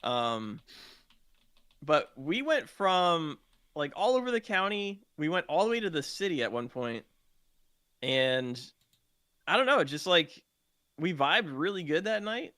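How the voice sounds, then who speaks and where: A man's voice: on the high side, very animated, a little slow, pauses often, bright, forceful tone, no room sound. A man telling roleplay gaming stories in a casual podcast chat. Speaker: podcast participant, game roleplay streamer.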